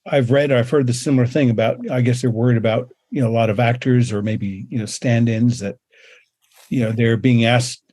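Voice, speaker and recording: Male panelist, mellow dark tone, hesitant, thinks aloud with plenty of fillers. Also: mid-range voice, fairly even intonation, a little fast. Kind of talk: casual panel discussion.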